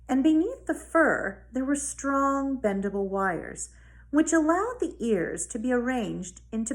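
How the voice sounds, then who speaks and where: Woman teacher with a slightly creaky voice. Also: a little high. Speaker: elementary school teacher. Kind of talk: casual talk to pupils and storybook read-aloud.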